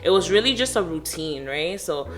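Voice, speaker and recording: A woman's voice: lowish voice, moderate pace. Chatty young woman, music behind. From Canada. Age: 20s. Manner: chatty and animated, lots of "like" and laughs. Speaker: podcast guest. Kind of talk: casual interview chat.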